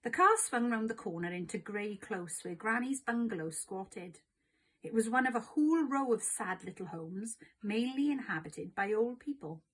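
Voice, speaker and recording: Woman reading a kids book out loud, expressive, highish voice, bit husky. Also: normal speed. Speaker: storybook narrator. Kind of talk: expressive scripted read-aloud of a children's story.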